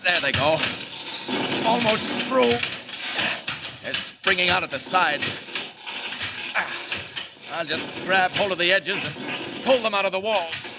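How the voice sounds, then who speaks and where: An actor playing a bold, resolute superhero in a 1940s radio serial, speaking in a declamatory way. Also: male voice, high voice, animated, creaky, loud music behind the voice, full character voice. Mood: determined, commanding. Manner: declamatory, deliberate. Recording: noisy, clicking vintage radio recording.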